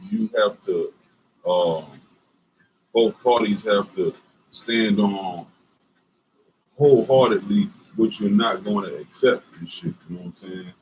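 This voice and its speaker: A man with a deep voice and a Southern US accent, co-hosting a couples livestream and talking bluntly with lots of swearing and fillers. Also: a little slow, pauses often, a little mellow, slight background noise, low-quality recording. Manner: profane and emphatic, many fillers. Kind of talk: casual livestream chat.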